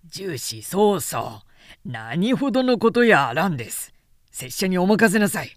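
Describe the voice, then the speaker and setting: Woman acting a bold warrior general, animated and swooping. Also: fairly low pitch, a little slow, dry recording, clean studio sound. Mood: boastful, persuasive. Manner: bold, emphatic, old-fashioned samurai-style speech. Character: boisterous, confident warrior general, middle-aged man. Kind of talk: literary audiobook reading.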